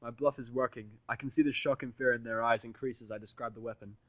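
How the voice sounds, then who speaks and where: Guy reading a fanfic out loud in first person, mid voice, really dry audio, dramatic storytelling. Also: medium pitch, steady tone, quick pace, no room sound. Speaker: fan fiction narrator.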